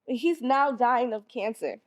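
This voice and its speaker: Woman playing a hurt teen girl in a stage play, kinda high voice, defiant and emotional, calling people out. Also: slow. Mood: hurt, sorrowful. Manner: emotional, accusatory monologue. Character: hurt, defiant 16-year-old schoolgirl confronting her mother.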